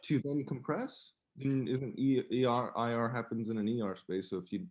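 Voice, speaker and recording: A man's voice: medium pitch, fairly even intonation, moderate pace, darkish tone. A man taking part in a coaching call, tentatively piecing his thoughts together in casual discussion.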